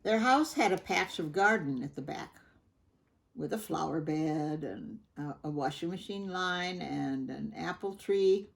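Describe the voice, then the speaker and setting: A woman reading a picture book aloud to children, gently chatting and stopping to ask the listener questions. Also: sits low, measured pace, creaky, slightly rough. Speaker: storybook reader.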